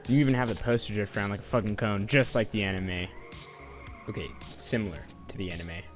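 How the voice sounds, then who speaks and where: A man's voice: on the low side, brisk. A young man doing a casual voice-over game review, sarcastic and profane. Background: faint music.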